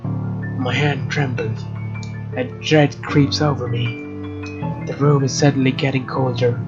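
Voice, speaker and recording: A man's voice: medium pitch, little pitch movement, unhurried, muffled recording. A man narrating a let's play, reading game text aloud and adding informal, joking asides. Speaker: let's player. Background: game music.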